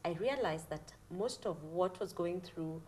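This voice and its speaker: A Kenyan woman, a doula and talk show guest, speaking earnestly and a little haltingly. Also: on the low side, expressive, darkish tone, a little hiss or hum. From Nairobi, Kenya. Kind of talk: interview answers.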